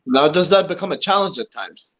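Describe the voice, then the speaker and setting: A man with a high voice asks his guest rapid, probing questions, talking fast. The sound is dull and lo-fi. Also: lively intonation, a bit bright. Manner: quick, probing follow-up questions. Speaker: podcast host. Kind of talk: interview questions. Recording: dull lo-fi podcast audio.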